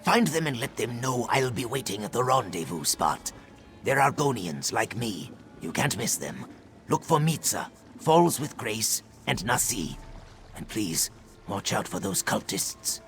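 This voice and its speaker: A man's voice: mid-range voice, big pitch swings, measured pace, fairly bright tone, soft background music. A man voicing an anxious lizard-folk refugee in hiding, in fantasy video game dialogue. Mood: worried, pleading.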